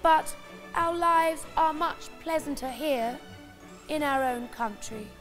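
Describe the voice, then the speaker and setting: A woman's voice: high-pitched, takes it slowly, music in the background, voice pushed up. A woman voicing a dainty young china princess from a storybook, prim and genteel. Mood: earnest.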